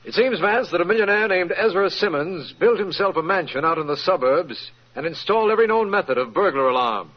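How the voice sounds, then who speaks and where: An actor playing an earnest, businesslike district attorney in an old-time radio drama, formal and often incredulous. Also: male voice, sits high, expressive, a little fast, hardly any pauses. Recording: dull, faintly noisy vintage radio recording.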